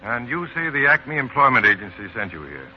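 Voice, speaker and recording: An actor playing a brisk, bewildered gentleman, in 1940s radio drama style. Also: medium pitch, very animated, natural voice. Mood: puzzled. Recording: muffled, hissy 1940s radio broadcast.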